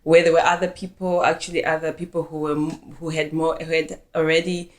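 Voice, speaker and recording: A woman's voice: deep voice, level tone, brisk. A young woman from Zimbabwe with a Zimbabwean accent, answering at length as an interview guest, often backtracking to rephrase. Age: young adult. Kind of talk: conversational interview.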